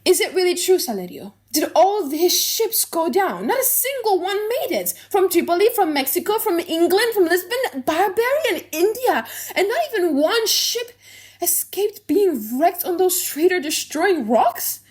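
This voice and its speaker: A woman's voice: extremely high pitch, very animated, moderate pace, pitched above the performer's own voice. A woman voicing a remorseful young nobleman, a devoted friend, in an earnest, confessional audiobook play reading. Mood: shocked.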